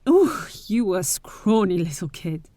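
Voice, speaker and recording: A woman playing a bold, caring but wary adventurer heiress in an audio roleplay, speaking to the listener with breathy asides and hesitant pauses. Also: on the low side, very animated, dark tone, dry recording. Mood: surprised, tender.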